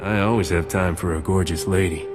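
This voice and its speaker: Guy doing cocky space smuggler, lowish voice, flirty and wry, game cutscene, reverby. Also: fairly even intonation, fairly quick, lightly acted. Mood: flirtatious, wry. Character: roguish, flirtatious male smuggler captain, wisecracking. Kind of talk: video game cutscene acting. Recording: hall reverb, music behind.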